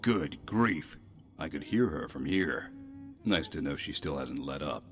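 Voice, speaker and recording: A gruff city officer from a fantasy game acted by a man, with an extremely low voice. He sounds stern, weary and sardonic, forever exasperated. Music plays clearly behind him. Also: lively intonation, measured pace, full character voice. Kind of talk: video game character dialogue.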